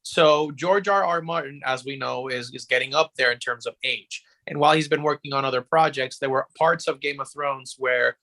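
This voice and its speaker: A man co-hosting a casual podcast discussion, explaining his view haltingly as he thinks aloud. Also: medium pitch, pushed and bright. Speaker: podcast co-host.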